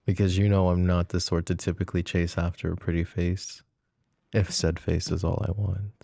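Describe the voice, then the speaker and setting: A man voicing a possessive, flirtatious mafia boss in an ASMR roleplay drama, with a low, soft, teasing drawl. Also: little pitch movement, moderate pace, gravelly, dry recording, studio quality. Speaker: voice actor.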